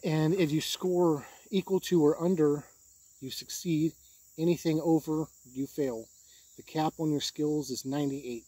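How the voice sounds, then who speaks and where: A man with a husky, somewhat high voice talks through a review off the cuff. His delivery is plain-spoken and matter-of-fact, like an informal hobby reviewer explaining how things work. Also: fairly even intonation, measured pace, mellow, no room sound. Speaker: tabletop game reviewer. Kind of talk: informal unscripted review to camera.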